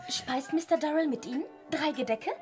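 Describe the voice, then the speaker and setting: A woman's voice: high-pitched, brisk, over music, natural voice. An actress playing a deferential, fussy, prim female housekeeper in a German crime radio play. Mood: timid, deferential.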